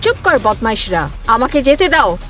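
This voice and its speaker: A woman's voice: fairly high pitch, sing-song, moderate pace. A woman voicing a young mermaid daughter-in-law in a cartoon, pleading yet defiant.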